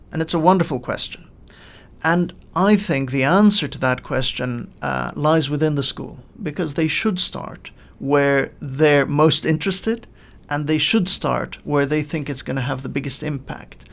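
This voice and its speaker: A middle-aged man from Lebanon, a guest on a podcast, explaining his work in measured sentences with frequent pauses and fillers. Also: on the high side, vocal fry. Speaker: guest, coaching in education expert. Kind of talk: podcast interview answers.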